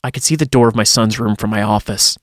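A man's voice: fairly low pitch, fast talker, no room sound, clean studio sound. A man reading a first-person horror story aloud in a dramatic storytelling style, as a podcast host would.

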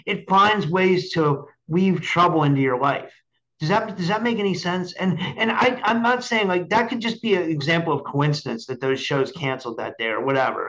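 Guy hosting a casual podcast, kinda high voice, rambles and repeats himself, muffled lo-fi sound. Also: a bit bright. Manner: rambling, repetitive, often checks he is understood. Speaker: podcast host interviewing a guest. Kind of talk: casual chat.

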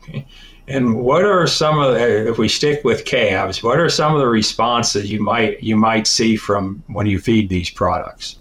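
A man hosting a podcast, asking questions in a plain, halting conversational way. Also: on the low side, a bit bright. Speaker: podcast host. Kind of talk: conversational interviewing.

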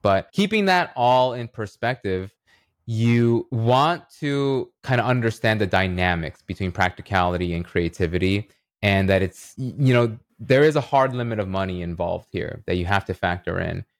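Low-voiced man explaining ideas, dry close sound. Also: animated, normal speed, no room sound. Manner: reflective, uses analogies and rhetorical check-ins. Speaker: podcast guest, writer and illustrator. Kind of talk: explanatory interview talk.

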